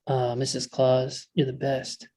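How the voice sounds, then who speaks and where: A man's voice: medium pitch, completely flat delivery, unhurried, dark tone, lightly acted. A man playing a suave, laid-back male movie star in a comedy table read, lazy and casual.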